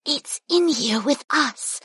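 A performer voicing a tormented female monster goddess in an audiobook, pleading urgently and devotedly in a husky, breathy woman's voice. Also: high voice, animated, slow, pushed and bright, no room sound, clean studio sound, pitched above the performer's own voice, full character voice. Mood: fearful, pleading. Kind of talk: audiobook character voice.

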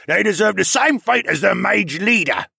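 A man's voice: high-pitched, animated, moderate pace, vocal fry, husky, no echo, clean studio sound, voice pushed up, full character voice. A man voicing an angry, sneering male polar bear demi-human who leads a mob in an audiobook fantasy story. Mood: menacing. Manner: belligerent, shouting.

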